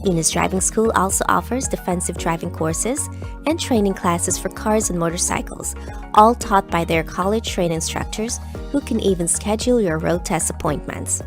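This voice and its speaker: A woman's voice: fairly low pitch, normal speed. A woman reading a scripted promo with emphatic delivery. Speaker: ad voice-over. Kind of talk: scripted ad read. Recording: music bed behind voice.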